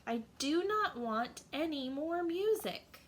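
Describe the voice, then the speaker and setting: A woman's voice: sits high, lively intonation, takes it slowly, a little hiss or hum, voice pushed up. A woman voicing a small, tired mouse from a children's storybook. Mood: exasperated, pleading.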